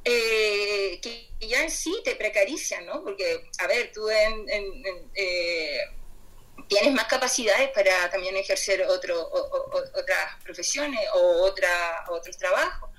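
A woman's voice: mid-range voice, takes it slowly, a bit bright, rough recording. A woman with a Chilean accent speaking spontaneously in an online group debate, hesitant and searching for her words. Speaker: doctoral student and care worker speaking as participant. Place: online video call.

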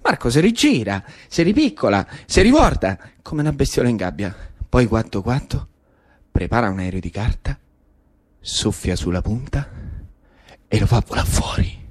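A man's voice: mid-range voice, very animated, normal speed, husky. A man with a Roman accent performing a dramatic storytelling monologue, building incantatory lists and repetitions. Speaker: actor-narrator.

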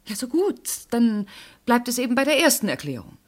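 A woman's voice: a little high, sing-song, a little fast. An actress playing a composed, guarded, self-assured woman under questioning in a German radio play, formal, evasive and insistent.

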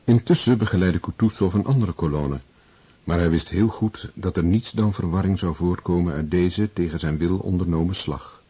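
A man's voice: low-pitched, normal speed, warm tone, slightly rough. A man reading scripted narration as the narrator of a historical radio drama.

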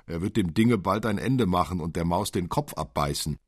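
A man voicing a cheerful, eager young boy in a storybook, lively and emphatic. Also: low voice, moderate pace, husky, no room sound, studio quality. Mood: merry.

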